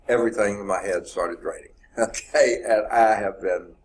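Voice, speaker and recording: A man's voice: lowish voice, moderate pace. Stuffed-up man giving a math lecture. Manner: conversational, repeats points, says okay often. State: stuffed up from sneezing. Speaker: math instructor. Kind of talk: classroom lecture. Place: classroom.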